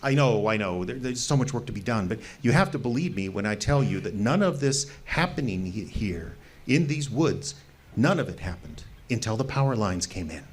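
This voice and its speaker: An actor playing a fanatical, earnest fringe researcher who lives wild in the woods, stammering and pleading in an audio drama. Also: male voice, on the low side, lively intonation, normal speed, husky. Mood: flustered, pleading.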